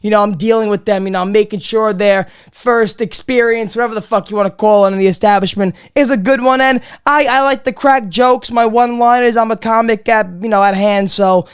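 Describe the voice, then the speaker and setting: A man's voice: high-pitched, fairly even intonation, a little fast, few pauses, clean tone. Rambling, foul-mouthed man losing his voice. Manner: rambling, profane, many restarts and repeats. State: losing his voice, a bit under the weather. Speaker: podcast host. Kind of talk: casual comedy podcast monologue.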